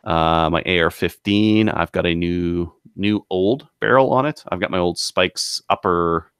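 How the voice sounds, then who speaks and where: A man co-hosting a podcast, chatting casually and pausing often mid-thought. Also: low-pitched, fairly bright tone, clean tone, no room sound, clean studio sound. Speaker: podcast co-host. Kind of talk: casual hobby podcast chat.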